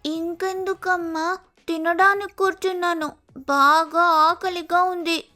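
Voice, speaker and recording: A woman's voice: very high voice, steady tone, takes it slowly, sharp tone, soft background music, heavily acted. A woman voicing a lazy, pampered young female sparrow in a cartoon moral story, whining and wheedling dramatically.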